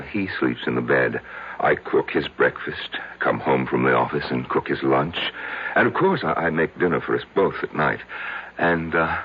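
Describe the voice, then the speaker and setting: An actor playing a meek, anxious patient confiding in his psychiatrist in an old radio drama, with hesitant, stammered pauses. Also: extremely low pitch, slightly rough, heavily acted. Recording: dull vintage radio broadcast recording.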